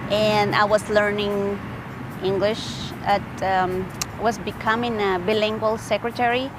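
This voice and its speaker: A woman's voice: medium pitch, little pitch movement, unhurried, background noise. A woman from Honduras, a native Spanish speaker with a Honduran accent, giving a personal interview account.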